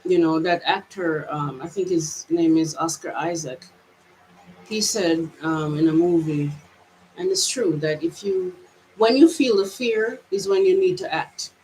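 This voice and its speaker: A woman's voice: lowish voice, unhurried, a little mellow, slight background noise, low-quality recording. A woman from Jamaica with a mixed Jamaican and American accent, giving a reflective personal vlog monologue in a hesitant, searching way with many restarts. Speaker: vlogger.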